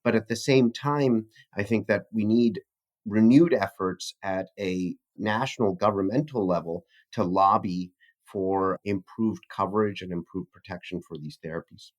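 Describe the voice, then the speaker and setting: Male medical expert guest. Lower register, formal interview delivery, measured, articulate, scholarly phrasing. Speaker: physician researcher, interview guest.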